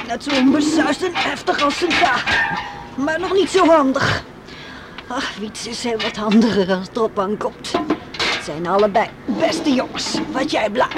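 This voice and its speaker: An actress playing a kind but busy farm mother who soothes and gently scolds her boys in a Dutch radio play. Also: on the high side. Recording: noisy old radio play recording.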